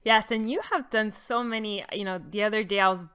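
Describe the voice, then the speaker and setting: A woman's voice: medium pitch, steady tone, brisk, a bit bright, smooth. A woman hosting a podcast, reading guest intros and show promos in an upbeat, enthusiastic way. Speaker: podcast host.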